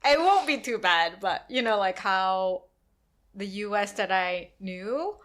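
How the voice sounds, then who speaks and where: A woman's voice: medium pitch, animated, takes it slowly, fairly bright tone. A woman from Korea, chatting as a podcast guest, laughing often and leaning on "you know" as she talks. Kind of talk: casual podcast conversation.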